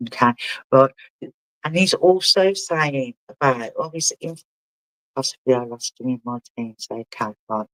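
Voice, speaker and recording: A man working as a spiritual medium, giving a live reading in a halting way and often asking the sitter whether it makes sense. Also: mid-range voice, a bit bright, dry recording, rough recording. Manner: halting, relays messages and keeps asking the sitter to confirm.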